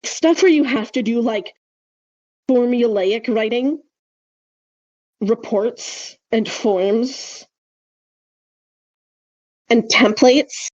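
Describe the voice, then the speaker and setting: A woman's voice: fairly high pitch, extremely slow, pauses often, darkish tone, no echo, dull audio. A woman chatting casually as a podcast co-host, wry, opinionated and emphatic in how she makes her points. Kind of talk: casual speculative podcast chat.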